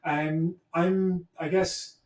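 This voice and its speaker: Guy, kinda high voice, thinking out loud with lots of stops, roomy echo. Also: flat delivery. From Ireland (Cork). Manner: thoughtful, halting, searching for words. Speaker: guest, history professor. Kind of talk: reflective conversational interview. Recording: echoey room, distant from mic.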